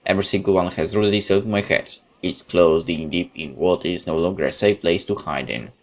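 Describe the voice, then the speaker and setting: A man reading horror game text aloud as a video host, theatrical and expressive, with informal reactions. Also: low voice, a little fast. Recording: noticeable background noise.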